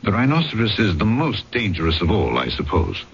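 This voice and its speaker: A man's voice: lowish voice, very animated, fairly quick, slight background noise. An actor playing a small, dapper policeman, shrewd and courteous, narrating and acting in an old-time radio drama. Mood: polite, probing. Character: small dapper French policeman, shrewd, polite, conscience-torn. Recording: dull old radio recording with music.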